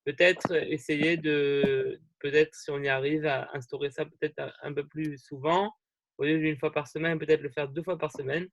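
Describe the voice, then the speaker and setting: A young man leads an online group gathering, talking over a video call. His voice sits a little high and the sound is dry and close. He rambles in a friendly way, full of fillers and restarts. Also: steady tone, moderate pace, no room sound. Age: young adult. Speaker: youth group leader hosting an online meeting.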